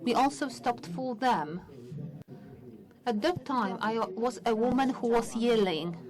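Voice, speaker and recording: A woman's voice: a little high, animated, a little slow, a crowd in the background. Older woman testifying in a crowded hall. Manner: hesitant, many fillers and restarts. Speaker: conference speaker. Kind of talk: personal testimony speech. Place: large conference hall. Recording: conference hall with audience noise.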